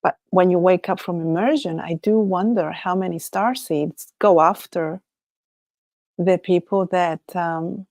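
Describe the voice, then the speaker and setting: A woman's voice: on the low side, fairly even intonation, unhurried, a little mellow, no echo. A woman from Chile with a Chilean Spanish accent, Spanish her first language, hosting a casual solo livestream chat. She muses aloud, often laughs, and trails off and restarts her thoughts. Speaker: livestream host.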